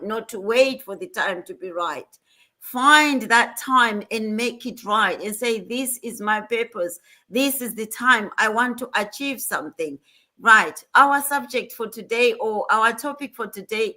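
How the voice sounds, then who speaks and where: A woman's voice: on the high side, expressive, a little slow, pushed and bright. A woman working as a nurse, hosting an online panel chat in an earnest, motivational way. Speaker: host.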